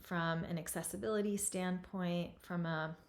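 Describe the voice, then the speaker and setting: A woman in her forties from Lebanon, hosting and introducing an event in a slow, reflective, soft-spoken way. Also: lowish voice, steady tone. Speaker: host.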